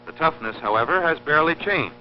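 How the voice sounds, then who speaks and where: A man narrating an instructional film in a formal, scripted, measured and precise teaching manner. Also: fairly high pitch, animated, slight background noise. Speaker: narrator of an industrial training film. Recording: dull lo-fi old film soundtrack, humming.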